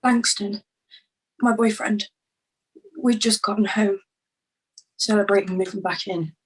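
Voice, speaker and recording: A woman acting a shaken, grieving young crime witness in a screenplay table read, with halting delivery. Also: mid-range voice, fairly even intonation, slightly rough, poor audio.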